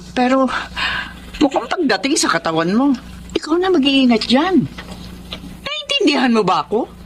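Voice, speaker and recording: A woman acting a caring, protective mother in a radio drama, earnest and gently admonishing. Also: fairly high pitch, a little hiss or hum.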